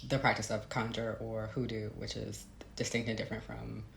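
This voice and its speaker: A woman's voice: extremely low pitch, completely flat delivery, a little fast, creaky, noisy background. A woman giving a reflective, conversational interview as a novelist, thoughtful and hesitant, circling back as she builds her ideas. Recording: roomy, echoey sound.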